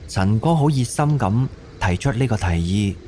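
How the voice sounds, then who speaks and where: A man's voice: lowish voice, expressive, moderate pace, dark tone, slight background noise. A man with a Cantonese accent narrating a scripted story for an audio drama.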